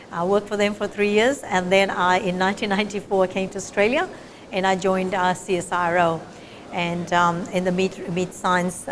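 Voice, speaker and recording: A woman's voice: fairly low pitch, slight background noise. A middle-aged woman from Fiji, a meat scientist, explaining her research in an interview with frequent fillers and restarts. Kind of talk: interview answers.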